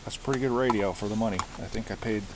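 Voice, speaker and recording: A man giving a casual show-and-tell of his gear, speaking haltingly and often repeating himself as he searches for words. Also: on the low side. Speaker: hobbyist presenter. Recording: hissy amateur home recording.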